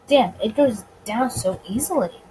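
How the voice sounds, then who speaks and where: Girl reading visual novel narration out loud, high expressive voice, trips on words a bit, some noise. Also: a little slow. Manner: stumbles over words and rereads them. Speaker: video game let's player.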